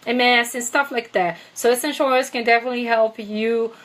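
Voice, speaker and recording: Brazilian-accented woman, slightly high pitch, enthusiastic vlogger, loose and filler-heavy. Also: fairly even intonation, brisk, fairly bright tone. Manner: chatty, many fillers, enthusiastic. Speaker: essential oils seller. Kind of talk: casual product vlog.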